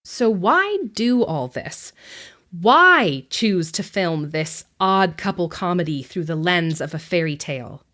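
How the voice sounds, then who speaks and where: A woman narrating a scripted film essay, with measured, deliberate phrasing and emphatic pauses. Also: medium pitch.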